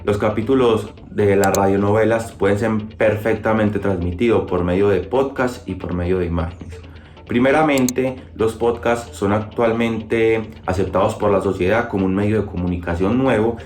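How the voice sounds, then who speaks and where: A man's voice: fairly low pitch, moderate pace, darkish tone. A man giving a prepared, structured opinion as a viewer. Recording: echoey room.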